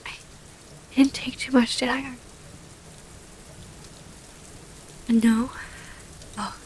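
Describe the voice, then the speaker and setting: Casting: actress as wistful female vampire sweetheart, higher voice, soothing breathy whispers, intimate ASMR, faint rainy noise behind. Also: a little mellow. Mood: concerned, adoring. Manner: breathy half-whisper, soothing, hesitant pauses. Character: tender female vampire lover, devoted, gently predatory, wistful. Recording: close-up with rain ambience, faint noise.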